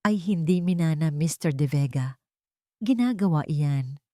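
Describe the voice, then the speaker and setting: Woman as proud single mother, cold, firm, defiant, slightly low voice, dry romance audio drama. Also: lively intonation, measured pace, mellow, dry recording. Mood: cold pride. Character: proud, wounded, steely single mother and landowner.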